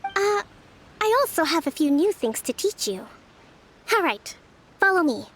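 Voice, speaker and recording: A woman's voice: very high voice, sing-song, measured pace, fairly bright tone, a little hiss or hum, full character voice. A woman voicing a young female island leader in a video game, composed and formal, over game music. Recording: game audio with music behind.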